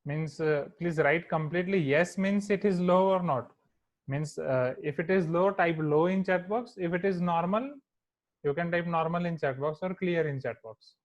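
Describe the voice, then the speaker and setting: A man's voice: fairly high pitch, moderate pace. A male maths teacher with an Indian accent teaching an online class, mixing in Hindi and checking in with students often. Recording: echoey tinny online class audio.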